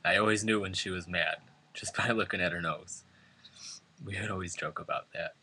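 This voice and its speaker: A man's voice: low voice, fairly even intonation, moderate pace, sharp tone, husky. A man from New York State reading a prepared eulogy at a funeral, earnest and emotional. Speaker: son giving a eulogy. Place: funeral service before family and friends.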